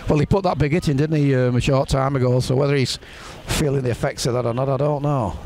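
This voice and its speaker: A man's voice: mid-range voice, steady tone, fast talker, darkish tone. A man calling an amateur rugby league match outdoors in the rain, chatty, his voice rising on big plays. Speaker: play-by-play commentator. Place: outdoors at a rainy rugby ground. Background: spectators and rain.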